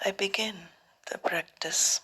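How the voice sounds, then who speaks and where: A woman leading a guided meditation as a teacher, speaking softly and often near a whisper, in calm, deliberate short phrases with long pauses. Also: mid-range voice, slightly rough, rough recording.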